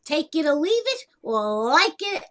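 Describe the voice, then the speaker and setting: A woman's voice: sits high, big pitch swings, measured pace, a bit bright, full character voice. An actress playing a chatty, self-deprecating older Italian-American woman in a stage comedy, with a folksy, wisecracking way of talking.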